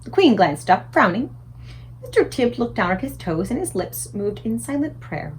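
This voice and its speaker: A woman reading a storybook aloud as narrator, in an expressive style suited to children. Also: on the high side, normal speed, a little hiss or hum. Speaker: book reader.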